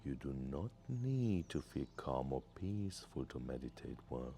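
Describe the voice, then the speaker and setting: A man gently leading a guided meditation in a soothing, deliberate way with long pauses. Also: rumbling low, sing-song, vocal fry. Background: music.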